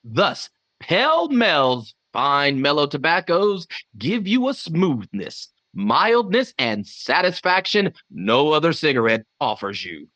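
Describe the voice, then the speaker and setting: Slow, bright vintage ad announcer, played by a man. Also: a little high, very animated, no echo, exaggerated voice. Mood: assured, selling. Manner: emphatic, deliberate. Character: smooth-selling male cigarette commercial announcer. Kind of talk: 1950s radio advertising. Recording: slightly dull band-limited sound.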